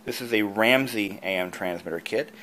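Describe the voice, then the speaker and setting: Lower-voiced man giving a casual tutorial. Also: normal speed, bright, forceful tone, rough recording. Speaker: video creator and host, antique radio hobbyist. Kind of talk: casual how-to tutorial narration.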